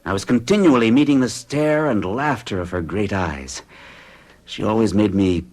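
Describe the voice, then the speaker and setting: A man's voice: lowish voice, normal speed, a little mellow, close to an everyday voice. An actor playing a bewitched middle-aged bachelor in an old-time radio drama, speaking huskily with intense, hesitant confessional delivery. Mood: uneasy, fascinated. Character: forty-one-year-old orderly bachelor, bewitched and bewildered.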